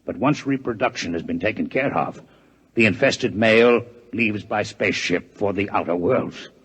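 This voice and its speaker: An actor playing a grave, ailing alien doctor who explains coolly in 1950s radio drama style. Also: male voice, on the low side, normal speed, husky. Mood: grave, explanatory. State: weakened, ill. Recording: old 1950s radio recording.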